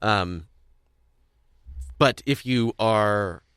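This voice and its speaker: A man's voice: fairly low pitch, drawn-out words, fairly bright tone, clean tone, dry recording, studio quality. A man hosting a podcast in casual conversation, speaking in a hesitant, rambling, stop-start way. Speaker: podcast host. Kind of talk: casual discussion of audio drama.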